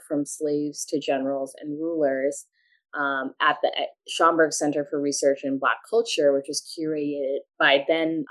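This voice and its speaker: Low-voiced woman professor, measured and articulate. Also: low-quality recording. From Pakistan. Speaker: guest, English professor. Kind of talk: academic interview.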